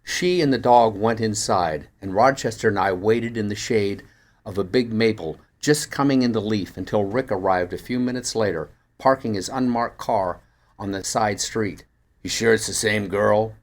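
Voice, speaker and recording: A man reading a first-person mystery story aloud in an expressive storytelling manner, like an audiobook narrator. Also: on the low side, moderate pace. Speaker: actor reading a short story.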